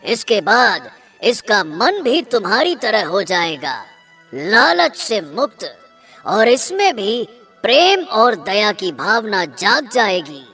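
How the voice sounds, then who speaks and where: A man's voice: high voice, sing-song, takes it slowly, sharp tone, faint music behind, heavily acted. A man voicing a dignified, commanding talking sword in a cartoon, formal and declarative. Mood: earnest.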